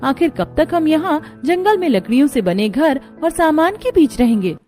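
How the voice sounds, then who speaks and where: A woman's voice: high-pitched, sing-song, normal speed, dark tone, soft background music. A woman voicing a practical, resourceful young Indian daughter-in-law in a Hindi cartoon story. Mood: earnest.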